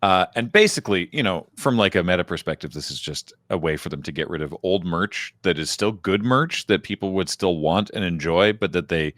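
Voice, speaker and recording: Very deep-voiced man, casual podcast chat. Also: fairly quick, hardly any pauses, a bit bright, no echo, studio quality. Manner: rambling, self-interrupting storyteller. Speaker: podcast co-host.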